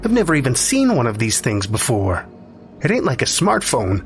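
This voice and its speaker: A man's voice: medium pitch, huge pitch swings, normal speed, music in the background. A man voicing a skeptical, uneasy young wife in an audio story, with slangy, hesitant, questioning speech. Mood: anxious, defensive.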